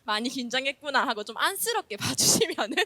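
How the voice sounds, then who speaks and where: A woman's voice: high voice, very animated, fast talker, pushed and bright, no room sound. A woman teacher with a slight Gyeongsang accent giving a chatty, self-deprecating conference presentation while nervous.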